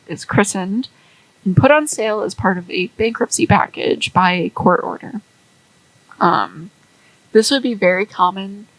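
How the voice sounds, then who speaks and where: A woman's voice: medium pitch, no echo, low-quality recording. A young woman telling a fan story in a rambling, casual way with swearing and fillers.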